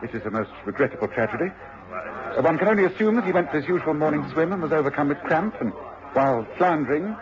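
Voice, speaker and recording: An actor playing a commanding, observant English gentleman detective with a precise, authoritative way of speaking, in a radio drama. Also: a little high, expressive, fast, a crowd in the background, lightly acted. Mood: calm, commanding. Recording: dull, noisy old radio recording.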